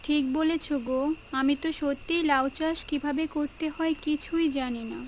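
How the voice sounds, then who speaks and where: Eager young cartoon village wife played by a woman, earnest, high voice, noisy ambience of birds and crickets. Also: steady tone, moderate pace, lightly acted. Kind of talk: cartoon story dialogue.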